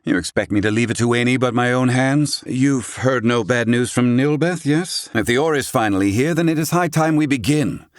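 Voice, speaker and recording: A man acting a proud, gruff, middle-aged inventor in a fantasy video game, with formal, haughty, theatrical diction. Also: mid-range voice, normal speed, hardly any pauses, no echo, studio quality, close to an everyday voice. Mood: brusque, impatient.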